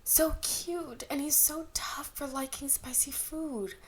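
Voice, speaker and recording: A woman's voice: high voice, steady tone, slow, slight background noise, voice pushed up. A woman voicing a gushing, smitten young female admirer in an amateur story reading. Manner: gushing, swooning. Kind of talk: amateur audiobook character voice.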